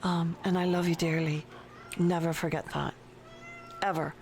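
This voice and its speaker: A woman streaming a game at her home desk, chatting casually and slowly with her viewers and her pet. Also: fairly low pitch, little pitch movement, a little mellow, slight background noise. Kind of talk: casual live-stream chat while playing. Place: home desk with a cat nearby.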